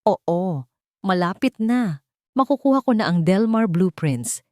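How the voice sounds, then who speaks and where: A woman voicing a scheming, defiant mistress in an audio love drama, sharp and emphatic. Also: medium pitch, lively intonation, unhurried, dry recording, clean studio sound, natural voice. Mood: scornful. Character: scheming mistress, defiant and panicky when cornered.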